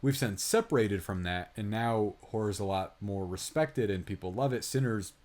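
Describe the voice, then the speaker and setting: A man's voice: fairly low pitch, normal speed, a little mellow. A man co-hosting a podcast, giving opinionated, rambling film commentary in casual conversation. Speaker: podcast co-host and film reviewer. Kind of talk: casual movie review chat.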